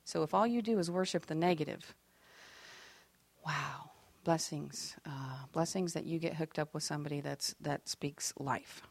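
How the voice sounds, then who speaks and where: Animated woman leading a faith workshop. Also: low voice, normal speed, gravelly. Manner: animated and expressive, acting out quoted lines; chatty and self-deprecating, with asides to the group. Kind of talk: teaching a faith workshop to a group.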